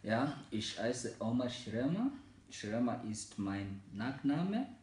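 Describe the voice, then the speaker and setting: A man in his twenties from Kenya, whose first languages are Mijikenda and Swahili, speaking careful learner's German with frequent pauses and fillers. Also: mid-range voice, very animated, a little mellow, echoey room, a little hiss or hum. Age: 20s. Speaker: German learner in a practice interview. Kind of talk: slow, halting learner German with many fillers.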